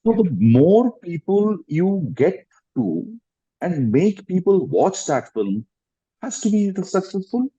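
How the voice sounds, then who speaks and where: A man's voice: high-pitched, big pitch swings, slow pace, mellow, slightly rough. A man in his fifties with an Indian English accent, a guest actor explaining his views over a video call, restarting phrases and rising with emphasis. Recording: dull lo-fi online call audio.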